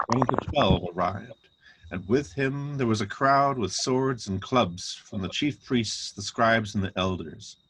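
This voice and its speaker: A man's voice: lowish voice, a bit bright, slightly rough, low-quality recording. A man narrating a dramatic reading of scripture.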